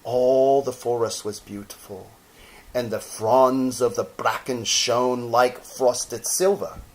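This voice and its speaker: A man reading a children's story dramatically and expressively, lingering over short phrases with pauses. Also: mid-range voice, steady tone, rough recording. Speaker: storybook narrator, actor.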